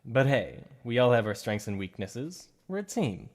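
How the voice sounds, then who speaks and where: A man's voice: mid-range voice, big pitch swings, normal speed. A man doing a shy, flustered supervillain. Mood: coaxing. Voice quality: soft-spoken. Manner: flustered, stammering slips of the tongue. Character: shy, obsessive male supervillain recruiter. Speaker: ASMR roleplay performer. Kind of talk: ASMR roleplay.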